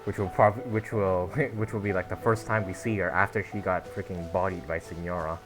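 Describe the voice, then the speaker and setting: A man's voice: low voice, steady tone, moderate pace, low-quality recording. A man giving casual, profane commentary while playing a video game. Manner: casual, swearing, laughing asides. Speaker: gaming streamer. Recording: game music playing under voice.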